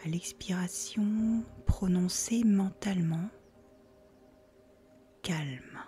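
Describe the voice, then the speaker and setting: A woman leads a guided relaxation in a soft, near-whispered voice. Her delivery is very slow and calm, with a mellow tone and a creaky edge. She gives soothing instructions with unhurried pauses. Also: mid-range voice. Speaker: yoga nidra guide. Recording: faint music behind the voice.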